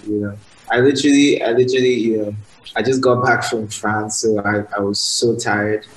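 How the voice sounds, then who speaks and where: A young man with a Nigerian accent, his first language Igbo, chatting softly and casually as a guest in a radio interview. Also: lowish voice, normal speed. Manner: soft-spoken, relaxed, lots of fillers. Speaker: guest musician. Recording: roomy sound with faint ambience.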